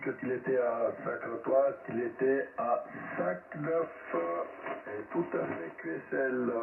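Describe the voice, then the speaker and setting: A man reads out signal reports on amateur radio at a very slow pace. The radio band is narrow and phone-like, with loud noise all through it. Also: mid-range voice, creaky, roomy sound, recorded from a distance. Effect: amateur radio transmission.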